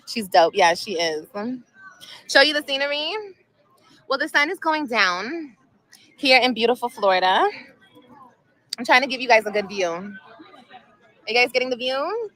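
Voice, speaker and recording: A woman's voice: a little high, lively intonation, measured pace, pauses often, a bit bright, poor audio. A woman in her thirties chatting casually on a livestream outdoors at sunset, emotional and rambling, repeating herself often. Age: 30s. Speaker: vlogger.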